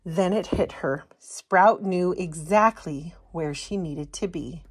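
A woman reading a children's picture book aloud as an expressive storyteller. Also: on the low side, slow pace, slightly rough. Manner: expressive storytelling, putting on character voices. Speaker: storytime reader.

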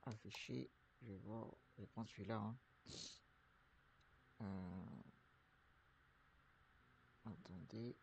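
A man's voice: lowish voice, slow pace, creaky, long pauses, a little mellow, a little hiss or hum, rough recording. A man with the flu talking his viewers through buying a truck in a game, rambling with many fillers and restarts. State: has the flu. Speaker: streamer playing a trucking game. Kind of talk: casual gameplay commentary.

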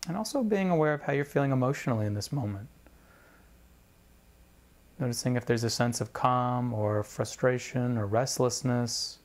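A man with a mid-pitched voice guides a meditation practice. He speaks like an instructor, in measured, deliberate phrases. He leaves space between one phrase and the next. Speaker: meditation teacher.

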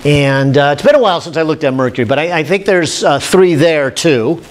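A man, giving an informal, halting lab demonstration as an instructor, thinking aloud. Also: a little high. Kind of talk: informal demonstration lecture at a whiteboard. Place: indoor classroom lab. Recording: steady room noise, classroom camera audio.

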